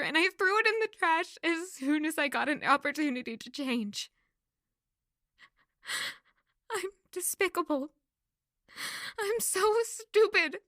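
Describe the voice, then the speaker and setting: Young female runaway for audio drama: high voice, dry close sound, wistful, dramatic, hard on herself. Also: a bit bright, no room sound. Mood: distraught. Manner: dramatic, anguished self-questioning. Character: self-blaming young runaway woman, wistful and highly emotional. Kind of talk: audio drama acting with reflective narration.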